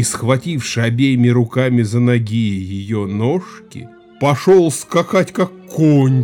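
Slow, animated rustic Cossack storyteller. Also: male voice, medium pitch. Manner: folksy, emphatic, exclamatory storytelling. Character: rustic Cossack villager spinning an eerie tall tale. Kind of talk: theatrical audio play acting.

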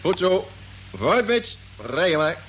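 A chatty, brisk space crewman in an old radio play. His voice sits a little high and moves around a lot, against clear background noise. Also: big pitch swings. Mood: informal, brisk. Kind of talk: radio drama acting.

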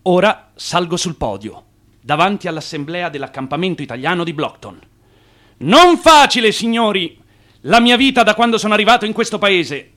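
Smooth-talking immigrant schemer, high voice, radio play, theatrical and eager. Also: male voice, big pitch swings, normal speed, bright, forceful tone, full character voice. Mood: rousing, impassioned. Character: Italian immigrant swindler, eager, smooth-talking adventurer and orator. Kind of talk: radio theatre acting with self-narration.

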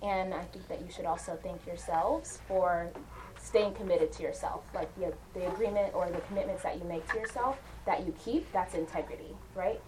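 A woman's voice: fairly low pitch, moderate pace, darkish tone, distant mic. A young woman from Uganda working as a counselor, giving an encouraging informal talk to a class of young people and often checking in with "right?". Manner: encouraging, asks the group questions, frequent "right?". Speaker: counselor and guest speaker. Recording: noisy room recording.

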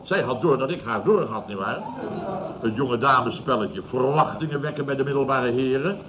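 An actor playing a pompous, self-satisfied middle-aged boss who exclaims and stammers when flustered, in old radio comedy style. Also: male voice, mid-range voice, moderate pace, lightly acted. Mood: smug, boastful. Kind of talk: 1970s Dutch radio comedy acting. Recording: dull, noisy vintage radio recording.

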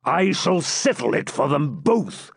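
A savage medieval baron bellows his demands, drawn out and forceful, as a male audiobook narrator puts him on. The voice climbs and plunges with fury. It sits close and dry, with no room around it. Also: a little high, very animated, creaky, breathy edge, no echo, voice pushed up, exaggerated voice. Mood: enraged. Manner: bellowing, threatening, slow and heavy. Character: furious cruel Norman baron, man, middle-aged. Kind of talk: audiobook character reading.

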